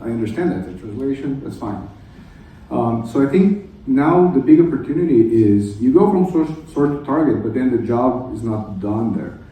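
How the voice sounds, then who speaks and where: Casting: male conference panelist, native Portuguese speaker, informal and halting, very mellow tone, distant mic. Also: mid-range voice, expressive, heavy reverb. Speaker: conference panelist, translation technology professional. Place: indoor conference room.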